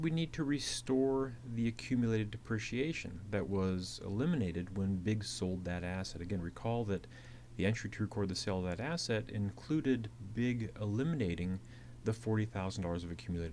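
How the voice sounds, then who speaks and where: Male instructor, fairly low voice, methodical slide-lecture narration, slight hum on the recording. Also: darkish tone. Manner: methodical, repeats points. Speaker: accounting instructor. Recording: clearly audible hiss and hum.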